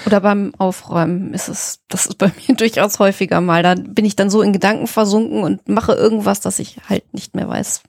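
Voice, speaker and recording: A woman's voice: mid-range voice, fairly even intonation, brisk, vocal fry, hardly any pauses, darkish tone, dry recording, studio quality. A woman co-hosting a podcast, chatting casually as she responds and adds everyday remarks. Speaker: podcast co-host. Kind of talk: casual conversational reactions.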